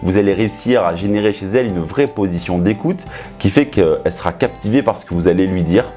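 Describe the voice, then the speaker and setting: A man's voice: low voice, a little fast, warm tone, soft background music. A man coaching in a didactic, instructional way, speaking directly to the viewer. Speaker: seduction coach hosting a video. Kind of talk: instructional talk to camera.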